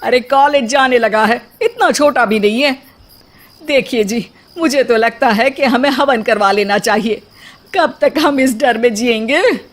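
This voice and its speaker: A woman's voice: on the high side, measured pace. A woman voicing an anxious, complaining middle-aged mother-in-law with a melodramatic comic manner in a family story. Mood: worried, insistent.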